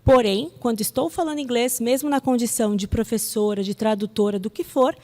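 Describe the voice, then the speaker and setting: A woman's voice: on the high side, brisk, darkish tone. A Brazilian woman speaking as a teacher to an audience, conversational and emphatic, often checking in with rhetorical questions. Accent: Brazilian Portuguese. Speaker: English teacher and translator giving a talk. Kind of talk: public talk answering audience questions. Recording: echoey hall with long reverb.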